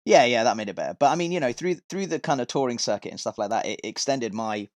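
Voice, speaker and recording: A man's voice: mid-range voice, expressive, fast talker, no room sound, studio quality. A man chatting casually as a podcast guest, telling stories in an informal, rambling, sweary way. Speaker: podcast guest, touring metal vocalist and tour manager.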